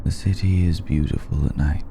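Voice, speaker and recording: A man playing an elegant, centuries-old vampire in an ASMR roleplay, speaking with measured, darkly polite menace and weary hesitation. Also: fairly even intonation, background noise. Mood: pensive, wistful. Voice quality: very deep, gravelly, dark. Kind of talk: slow intimate ASMR roleplay addressed to listener.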